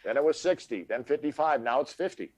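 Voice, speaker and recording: A man's voice: a little high, animated, fairly quick, darkish tone. A man in his sixties co-hosting a comedy chat, casually reminiscing and making jokes. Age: 60s. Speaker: comedy show co-host.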